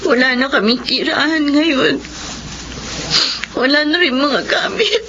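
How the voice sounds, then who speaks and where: A burdened young elder sister in a radio drama. Her voice is very high and pleading, full of feeling, heard over loud noise on a slightly dull recording. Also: slow. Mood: despairing, tearful. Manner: emotional, pleading. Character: distressed young older sister Blessy, burdened, tearful, caring. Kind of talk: Filipino radio drama acting.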